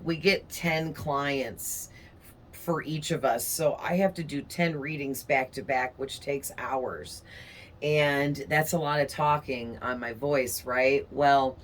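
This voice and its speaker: A woman tarot reader talking casually to her viewers, her voice raspy and hoarse from strain, rambling along with frequent "okay?" tags. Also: sits low, slight background noise. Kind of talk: casual chatty monologue to viewers.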